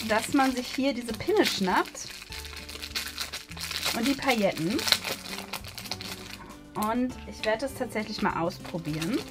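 A woman chatting casually as she hosts a craft demonstration, thinking aloud while she works. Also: on the high side. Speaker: host of a craft haul video. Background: music.

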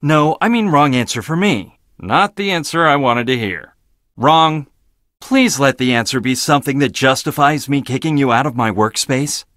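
A man's voice: mid-range voice, moderate pace, a bit bright, dry recording, studio quality, lightly acted. Curt, prickly male scientist, played by an actor. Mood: dismissive, blunt. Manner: clipped, dismissive, sardonic. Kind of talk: video game character dialogue.

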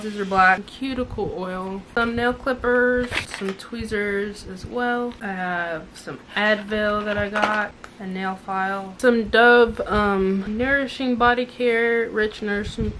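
A young woman casually showing off a shopping haul from her couch, chatting in a relaxed way and listing items with asides. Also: medium pitch, noisy background. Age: young adult. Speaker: vlogger. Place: living room, on a couch indoors.

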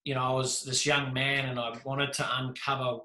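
A man in his thirties with a New Zealand accent, telling his story as a podcast guest on a video call, animated and jokey. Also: medium pitch, moderate pace. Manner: animated, gestures a lot, jokey. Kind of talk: casual storytelling. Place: small room indoors. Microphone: video call with desk mic. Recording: echoey room.

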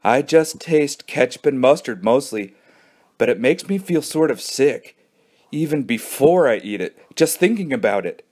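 A man's voice: medium pitch, expressive, unhurried, a little mellow. A man voicing a queasy, brooding boy in a children's audiobook, weary and dramatic in his dread.

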